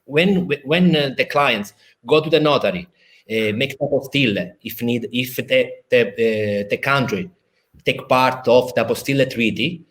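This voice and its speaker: A man with an Italian accent, a lawyer explaining a legal procedure over a video call, halting with many fillers and restarts. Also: mid-range voice, a bit bright. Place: in a city in Italy, at home or office.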